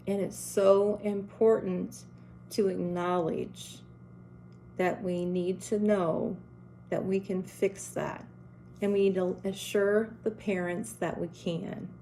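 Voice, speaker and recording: Slow, strong-voiced middle-aged woman. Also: medium pitch, darkish tone, a little hiss or hum. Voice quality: strong, carrying voice. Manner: earnest, deliberate, direct. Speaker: school principal. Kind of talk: advice talk to parents and teachers.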